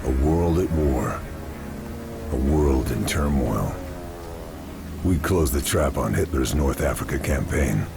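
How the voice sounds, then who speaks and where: Very deep, gravelly war-game sniper. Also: male voice, expressive, heavily acted. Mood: grave, measured. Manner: terse, measured, grave delivery. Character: grim, determined WWII special-operations sniper agent, adult man. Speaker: protagonist narrator. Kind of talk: dramatic scripted mission-briefing narration for a war game. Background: music.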